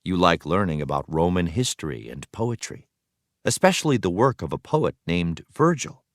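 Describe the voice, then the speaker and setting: Male educational narrator, deep register, animated read-aloud delivery for young learners, dry close sound. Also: moderate pace, no echo. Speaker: teacher reading aloud. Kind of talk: read-aloud narration of a children's history text.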